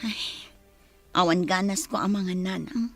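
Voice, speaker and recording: A woman's voice: medium pitch, measured pace, faint music behind, lightly acted. An actress playing a distressed young woman who pleads tearfully in a radio drama, with a Filipino accent. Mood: tearful, troubled. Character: distressed young woman pleading over her gambling-addicted fiancé. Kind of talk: Philippine radio drama acting.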